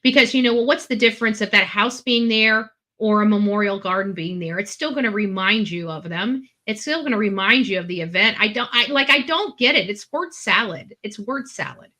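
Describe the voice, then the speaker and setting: Bright-voiced, blunt woman. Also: mid-range voice, brisk, few pauses. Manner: blunt, emphatic, repetitive, swearing. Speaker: guest panelist on a true-crime stream. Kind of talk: casual opinionated discussion.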